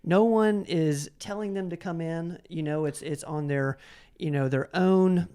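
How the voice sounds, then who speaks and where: A man's voice: on the high side, measured pace, warm tone, clear, resonant tone. A man hosting a casual conversational interview, enthusiastic and rambling, often repeating his words. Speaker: podcast host and interviewer.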